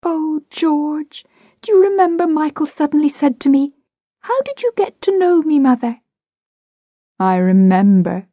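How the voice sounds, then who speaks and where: A woman voicing a placid, gentle Edwardian mother who speaks softly and wistfully, in a storybook reading. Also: high-pitched, expressive, measured pace, no echo, studio quality, pitched above the performer's own voice. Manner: soft, tender, wistful.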